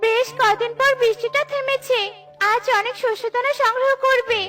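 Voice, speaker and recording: A woman's voice: very high voice, steady tone, normal speed, music in the background. A woman voicing female bird characters in a children's cartoon, exclaiming and complaining theatrically. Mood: bossy, brisk. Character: female cartoon birds, scolding crow wife and small bird neighbours.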